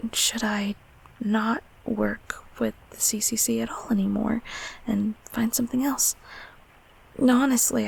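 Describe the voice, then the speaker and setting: Woman as a soft, breathy, gentle closet monster. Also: a little high, exaggerated voice, low-quality recording. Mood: reflective, tender. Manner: slow, hesitant, tenderly reassuring. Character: gentle, affectionate closet monster, former child-scarer turned comforter. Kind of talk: intimate comfort audio roleplay.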